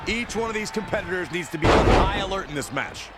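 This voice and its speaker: A man's voice: high voice, lively intonation, fast talker. A man giving scripted color commentary in a wrestling video game. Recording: loud arena noise and slams behind. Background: loud wrestling arena ambience, slams.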